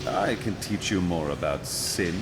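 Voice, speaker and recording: A man voicing a roguish, smooth-talking thief who is sly and flirtatious. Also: deep voice, a little slow. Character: roguish charming male thief and scoundrel. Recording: noisy background.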